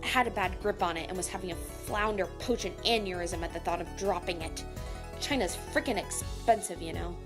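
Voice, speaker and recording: A woman playing a brash, hot-tempered chef in an audio drama, gruff and sarcastic, cursing mildly in food words. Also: on the low side, fairly quick, natural voice. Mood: exasperated. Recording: music behind the voice.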